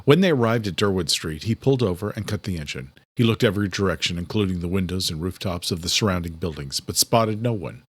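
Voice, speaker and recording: A male audiobook narrator with a lowish voice and very expressive intonation. His scripted horror thriller reading is dramatic and recorded close and dry. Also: fast talker, hardly any pauses, slightly rough, no echo.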